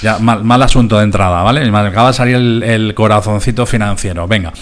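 A male radio host with a fairly low voice and a Spain Spanish accent. He talks informally, explaining things step by step in a prudent, conversational manner. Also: quick pace. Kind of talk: conversational radio hosting and discussion.

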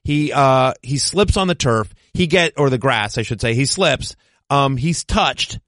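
A man in his forties hosting a casual sports podcast, joking and animated. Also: mid-range voice, unhurried, dry recording, clean studio sound. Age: 40s. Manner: animated, self-deprecating joker. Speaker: podcast host.

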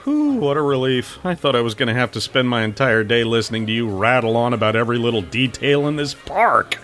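A man's voice: medium pitch, expressive, brisk, fairly bright tone, close to an everyday voice. A man playing a cheeky, boastful voiceover announcer in a comedy audio drama, coy and teasing.

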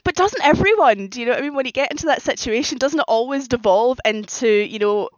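A woman's voice: on the high side, animated, quick pace, no echo. A woman talking casually as a guest writer on a podcast, with slightly dull, lo-fi sound. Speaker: guest author on a fan fiction podcast.

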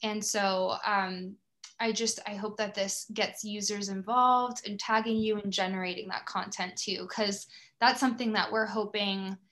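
A woman's voice: medium pitch, steady tone, measured pace, a bit bright. An American woman from Florida speaking as a podcast host in a casual, conversational interview. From Florida, United States.

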